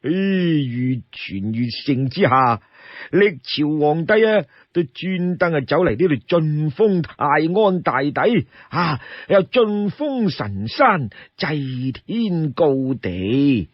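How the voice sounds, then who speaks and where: A man voicing a talkative, obliging old local man in an audiobook story, husky, with drawn-out sighs and confiding asides. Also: fairly high pitch, expressive, no echo. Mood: proud, explaining. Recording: dull, lo-fi, dry studio sound.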